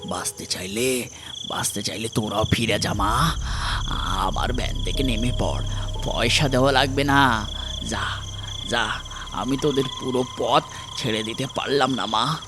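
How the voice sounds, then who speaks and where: A man playing a frightened, superstitious old village van-puller in an audio horror story, pleading in halting, repeated phrases. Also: medium pitch, background noise, close to an everyday voice. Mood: fearful, insistent. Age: older. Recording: loud music under the voice.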